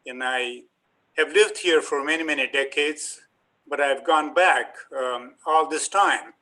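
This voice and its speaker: An older professor from Afghanistan, a native Dari and Pashto speaker, gives a talk in a somewhat high voice. His tone is bright and forward, and he works through his points with frequent ums and uhs. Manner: measured, hesitant, many ums and uhs. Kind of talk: lecture to a community group.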